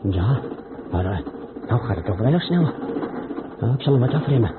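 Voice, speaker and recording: An actor playing a reckless truck driver in a radio play. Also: male voice, lowish voice, expressive, moderate pace, close to an everyday voice. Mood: panicked. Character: reckless younger truck driver talking to himself, turning panicked. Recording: muffled noisy old radio play recording.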